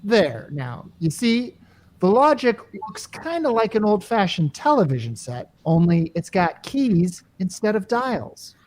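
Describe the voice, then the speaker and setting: Animated, slangy, exasperated salesman, acted out in a radio play. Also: medium pitch, a little slow, slight background noise. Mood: sales patter. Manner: colloquial, slangy, wry sales patter. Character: brash, exasperated salesman named Caldwell, wisecracking working-class type. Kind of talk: hobby radio play acting.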